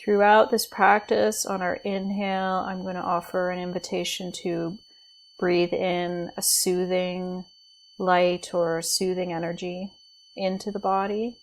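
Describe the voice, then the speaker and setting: A woman leading a guided body scan meditation as a teacher, speaking in a gentle, soothing, unhurried way with pauses. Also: on the low side, level tone, slight background noise, poor audio.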